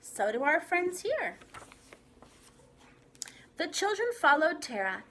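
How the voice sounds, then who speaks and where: Preschool teacher lady reading a storybook to little kids, high voice, sing-songy, up and down a lot. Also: slow pace, a little hiss or hum. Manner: animated, sing-song, addresses the children. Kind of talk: storybook read-aloud to young children.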